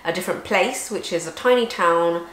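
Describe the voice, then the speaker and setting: A woman talks casually to the camera, explaining at length in a lowish voice. Her voice is recorded in an echoey room at home, with faint ambience behind it. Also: moderate pace, fairly bright tone, slight background noise. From England. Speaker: vlogger co-host. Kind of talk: casual chat.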